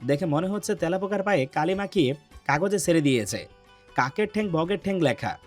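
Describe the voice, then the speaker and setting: A man acting a brooding, lovesick, gruff young man. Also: expressive, fairly quick, faint music behind. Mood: indignant, disgusted. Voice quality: deep, heavy voice. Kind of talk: dramatized romance audio story.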